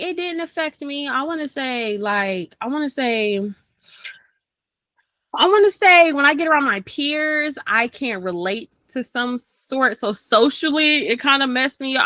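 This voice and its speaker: A woman's voice: high voice, animated, measured pace, pushed and bright, dry recording. A young woman, a rapper from Maryland, talking casually and animatedly as an interview guest, laughing often. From Maryland, USA. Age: young adult.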